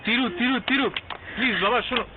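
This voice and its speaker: A man's voice: extremely high pitch, steady tone, fast. An actor playing an exasperated young man in a TV drama, defensive yet coaxing, with a Bangladeshi accent. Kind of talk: TV drama acting. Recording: thin phone-like sound, faint noise.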